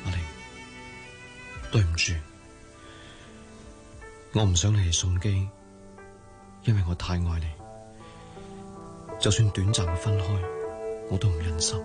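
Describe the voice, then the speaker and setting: An actor with a Hong Kong Cantonese accent playing a devoted, sweet-talking young fiancé in a radio drama. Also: male voice, deep voice, steady tone, measured pace, long pauses, music in the background. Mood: solemn, loving.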